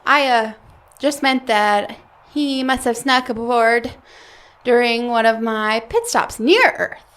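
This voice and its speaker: A woman's voice: fairly high pitch, lively intonation, takes it slowly, a bit bright, clear, resonant tone. A woman playing a flustered, evasive alien graduate student who stammers and chuckles nervously in an audio comedy. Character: flustered female alien grad student, evasive, squeamish fibber. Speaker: voice actor. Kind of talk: audio comedy drama acting.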